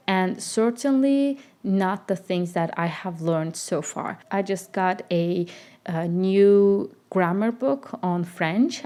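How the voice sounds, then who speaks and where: A woman's voice: mid-range voice, darkish tone. A young woman, not a native English speaker, chatting casually in a life-update vlog, with frequent fillers and self-corrections. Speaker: vlogger.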